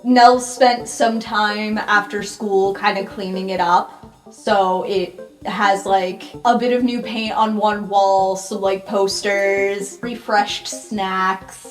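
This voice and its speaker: A woman's voice: mid-range voice, steady tone, slow. A woman chatting casually as a player in an improvised tabletop roleplay game. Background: background music.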